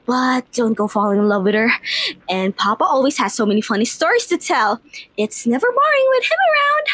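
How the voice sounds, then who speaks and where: A woman's voice: high-pitched, fairly bright tone, breathy edge, no room sound, exaggerated voice. A woman voicing a giddy, childlike, playful vampire mistress in an ASMR roleplay, gushing and girlish.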